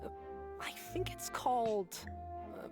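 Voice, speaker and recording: A woman's voice: on the high side, sing-song, slow, music in the background. A woman playing a friendly, slightly awkward young agent in an anime game, chatty, polite and a little hesitant.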